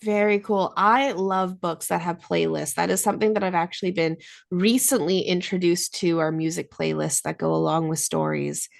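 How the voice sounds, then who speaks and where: A woman's voice: lowish voice, fairly even intonation, moderate pace, vocal fry, hardly any pauses. A woman hosting an interview, speaking in an articulate, encouraging way as she asks questions and comments. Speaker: interviewer, host of a book show.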